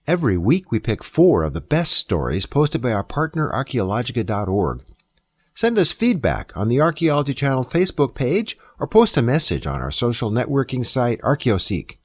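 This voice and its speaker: Husky, animated male announcer. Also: medium pitch, moderate pace, dry recording. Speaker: programme host and announcer. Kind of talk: scripted announcement.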